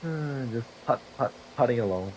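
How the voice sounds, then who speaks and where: A young man with a cold and a hoarse, fading voice, rambling profanely and mocking himself while commentating a driving game. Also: medium pitch, expressive, moderate pace, slight background noise, rough recording. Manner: profane, rambling, self-deprecating. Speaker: gaming video creator. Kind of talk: casual gameplay commentary. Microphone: desktop microphone.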